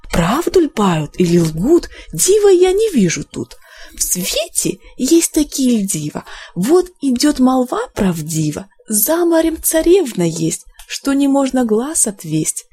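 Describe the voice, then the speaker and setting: Woman acting a shrill, spiteful old gossip woman. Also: very animated, measured pace, warm tone, breathy edge, full character voice. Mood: sneering, mocking. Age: older. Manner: sneering, gossipy.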